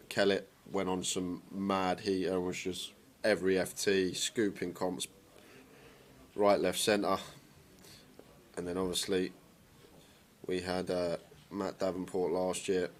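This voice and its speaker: A man giving relaxed, conversational poker commentary on a live stream and greeting viewers in the chat. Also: low voice.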